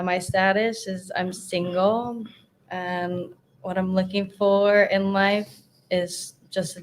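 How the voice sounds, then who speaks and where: A woman in her twenties, a podcast guest, who talks in a blunt, wry, low-key way. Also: slight background noise, poor audio. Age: 20s.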